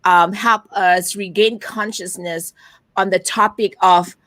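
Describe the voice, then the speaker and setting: A woman's voice: medium pitch, takes it slowly, no room sound. A middle-aged woman from Malaysia, talking animatedly as a guest on a video call interview and often tagging her points with "right?". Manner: animated storyteller, frequent "right?" tags. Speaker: guest, virtual event producer. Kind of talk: casual interview chat.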